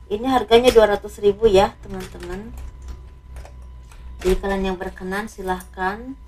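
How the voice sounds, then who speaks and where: A slightly hoarse woman doing a casual tarot reading, speaking softly and letting her phrases trail off. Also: mid-range voice, steady tone, moderate pace. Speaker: tarot reader.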